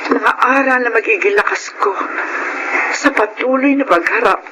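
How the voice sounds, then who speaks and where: A woman's voice: high voice, moderate pace, background noise, close to an everyday voice. A woman playing Feli, a struggling mother in a radio drama, worried and emotional. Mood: reflective. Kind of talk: Filipino radio drama acting.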